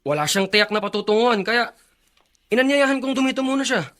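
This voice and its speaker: A man's voice: extremely high pitch, animated, fairly quick, a bit bright. A Filipino actor playing a kind-hearted, principled young man in a radio drama, speaking earnestly. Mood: earnest, defensive.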